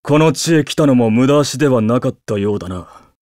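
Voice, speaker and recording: An actor playing a brusque, impatient demon fighter in an anime game, rough-spoken and contemptuous. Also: male voice, mid-range voice, expressive, brisk, a little mellow, no room sound, clean studio sound, close to an everyday voice. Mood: cold menace.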